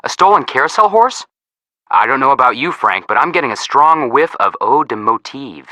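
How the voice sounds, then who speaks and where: Bright, animated young wisecracking detective. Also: male voice, medium pitch, normal speed, dry recording. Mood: sly, eager. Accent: American. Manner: playful, wry, quippy. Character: wisecracking young man detective, eager teasing sidekick. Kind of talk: video game voice acting, phone hints.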